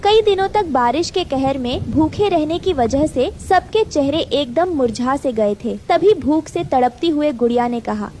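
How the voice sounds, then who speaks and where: A woman's voice: high-pitched, expressive, a little slow, hardly any pauses, mellow, a little hiss or hum. A woman reading scripted narration for a Hindi cartoon story.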